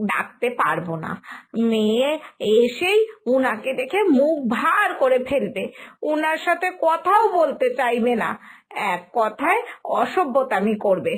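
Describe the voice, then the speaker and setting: A woman voicing a fretful, soft-hearted young village mother in an animated moral story, polite and anxious, with an expressive, deliberate delivery. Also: high voice, husky, heavily acted.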